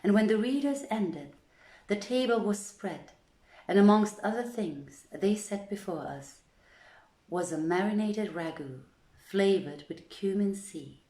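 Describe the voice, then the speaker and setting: A woman reading an old literary tale aloud in a deliberate, theatrical storytelling manner with measured phrasing. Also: mid-range voice, expressive, dark tone. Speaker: storyteller, reader. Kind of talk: dramatic scripted storytelling, archaic literary narration. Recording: roomy, echoing voice recording.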